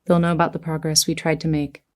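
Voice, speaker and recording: A woman's voice: deep voice, little pitch movement, fast, close to an everyday voice. An actress playing a composed, fair-minded female leader of a survivor settlement, orderly and decisive, in an audio drama.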